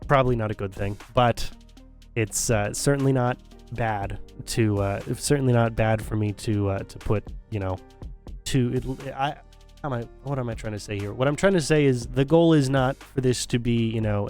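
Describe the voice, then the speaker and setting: A man's voice: medium pitch, moderate pace, a little mellow, soft background music. A male streamer talking casually to his viewers, rambling with many restarts and fillers, his voice strained and still recovering. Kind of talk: casual stream sign-off talk.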